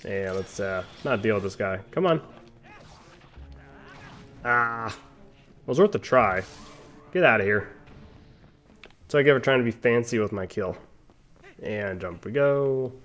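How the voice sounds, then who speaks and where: Chatty man with a lowish voice. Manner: chatty, rambling, playful asides. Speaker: video game playthrough host. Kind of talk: casual gameplay commentary with tangents.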